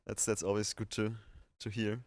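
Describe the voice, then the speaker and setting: Guy hosting a chill stream chat, clear smooth voice, dry close mic. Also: fairly low pitch, monotone, normal speed, a little mellow, dry recording. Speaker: livestream host and video editor. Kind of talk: casual chat.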